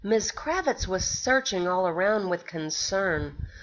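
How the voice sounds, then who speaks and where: Slow sing-song teacher reading aloud. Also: female voice, medium pitch, lively intonation, highs cut off. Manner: slow, sing-song storytelling with questions to the children. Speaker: pre-K teacher. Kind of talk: reading a picture book aloud to young children.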